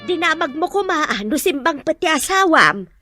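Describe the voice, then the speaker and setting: Pushy worried mom in radio drama, sharp highish voice, keeps pressing. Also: female voice, big pitch swings, normal speed, faint music behind, exaggerated voice. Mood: insistent, concerned. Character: nagging, concerned mother of grown son.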